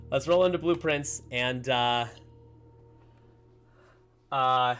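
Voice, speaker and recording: A man streaming a game in casual, rambling chat, slightly hoarse as he recovers from a lost voice. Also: medium pitch, very slow, fairly bright tone, soft background music. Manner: chatty, upbeat, rambling with many fillers. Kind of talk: casual livestream chat while playing.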